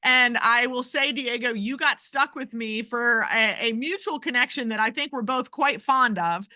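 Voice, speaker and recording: A woman's voice: on the high side, moderate pace, bright, forceful tone, no echo. A woman hosting a podcast, upbeat and enthusiastic as she welcomes and introduces her guest. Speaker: podcast host.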